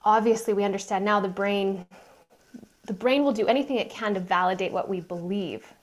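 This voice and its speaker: A woman's voice: mid-range voice, fairly quick. A woman guest on a podcast, telling her life story in an earnest, emphatic way. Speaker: guest, mind coach. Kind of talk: interview storytelling.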